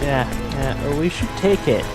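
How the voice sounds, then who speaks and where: A man's voice: a little high, expressive, normal speed. A man casually bantering as a gameplay co-host, with playful, goofy interjections. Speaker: let's play co-host. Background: game music.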